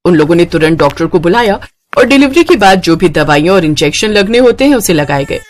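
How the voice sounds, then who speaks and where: A woman's voice: fairly low pitch, animated, a little fast. A woman storyteller reading scripted narration for a moral tale.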